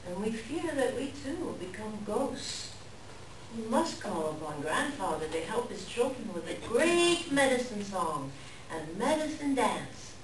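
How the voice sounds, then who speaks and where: A woman playing an earnest, scolding raven messenger in a staged folktale reading, speaking in a theatrical, deliberate, pleading way. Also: medium pitch. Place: live reading in a library room. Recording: echoey room, distant mic, background noise.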